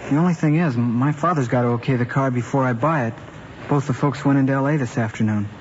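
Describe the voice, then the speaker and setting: A man's voice: mid-range voice, fast talker, lightly acted. A young man with an American accent playing an eager, trusting teenage boy in a vintage film, talking in casual youthful slang. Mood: agreeable, hesitant. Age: young adult. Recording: muffled vintage film soundtrack, clear noise.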